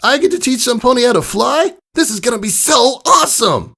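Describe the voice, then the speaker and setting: A man voicing a brash, cocky, excitable young female pegasus pony in a storybook reading, with boastful, teasing exclamations. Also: extremely high pitch, a little fast, vocal fry, breathy edge, pitched above the performer's own voice, heavily acted. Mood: excited, cocky. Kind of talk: acted storybook character dialogue.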